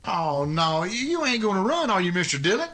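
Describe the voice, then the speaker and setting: An actor playing a loyal, naive, flustered sidekick in a western radio drama, stammering and folksy. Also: male voice, high voice, sing-song, fairly quick, a bit bright, heavily acted. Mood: upset, anxious.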